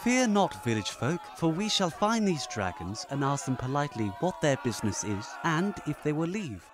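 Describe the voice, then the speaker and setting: A man's voice: on the high side, very animated, moderate pace, music in the background. A man playing a courteous, grandiose elf in a fantasy audio drama, speaking formally and floridly. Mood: gallant. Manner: formal, flowery, theatrical. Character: courteous, grandiose young male elf adventurer.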